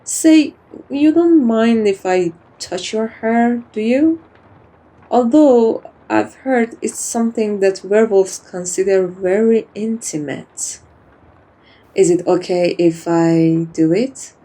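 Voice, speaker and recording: A dominant yet kind female vampire, played by a woman, speaks softly in an intimate ASMR roleplay. Her voice is mellow and medium-pitched, and she takes her time over every phrase. She teases and coos, doting on the listener like a beloved pet. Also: slow pace, rough recording. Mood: gentle, commanding. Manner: soft-spoken, teasing, cooing, addresses listener like a pet. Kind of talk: soft-spoken ASMR roleplay.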